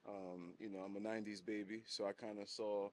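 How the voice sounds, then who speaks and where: A relaxed man in his thirties with a lowish voice, talking through his journey as an interview guest. He chuckles between thoughts and stumbles over repeated words in an easygoing, conversational way. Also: fairly quick, slight background noise. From Haiti. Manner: laid-back, chuckles often, repeats words. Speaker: guest, hat brand designer. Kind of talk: casual interview storytelling.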